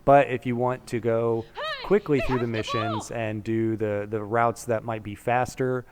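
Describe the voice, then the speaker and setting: A man's voice: mid-range voice, steady tone, measured pace. A man giving matter-of-fact, explanatory strategy commentary over a game playthrough. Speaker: gaming video maker. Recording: voice-over with game noise behind. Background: game sounds, gunfire.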